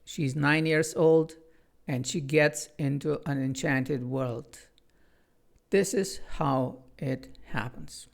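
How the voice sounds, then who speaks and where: Gravelly Bulgarian-accented man reading stories. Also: a little high, fairly even intonation, slow. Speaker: author reading his own children's book. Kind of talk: chatty intro, then storybook read-aloud.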